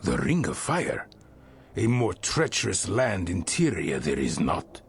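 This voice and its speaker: A man playing a fierce, proud, gruff dwarf king in a fantasy game, with a gravelly voice and blunt, archaic delivery. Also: sits low, huge pitch swings, measured pace, heavily acted. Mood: grim, doubting. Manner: dramatic, blunt, archaic phrasing. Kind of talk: fantasy game cutscene acting.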